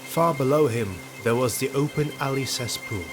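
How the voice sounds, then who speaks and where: A man's voice: mid-range voice, moderate pace. A man narrating a fantasy audio drama in a scripted storytelling style. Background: background music.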